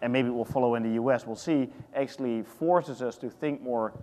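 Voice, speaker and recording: A Dutch man in his thirties on a conference panel, thinking aloud with lots of restarts. His voice sits at a middling pitch. The hall around him adds a long, ringing reverb. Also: brisk, a little mellow. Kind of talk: panel discussion.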